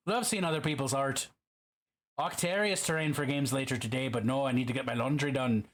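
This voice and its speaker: A man's voice: medium pitch, a little fast. A man chatting casually on a live stream while painting, reading messages aloud and teasingly slipping into a mock Irish accent.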